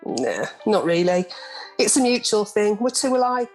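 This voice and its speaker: A woman's voice: medium pitch, sing-song, normal speed, darkish tone, natural voice, low-quality recording. A woman playing a middle-aged, hard-bitten and dismissive mother in a radio play, speaking bluntly. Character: hard-bitten, detached mother of a grown son. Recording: video call recording with music.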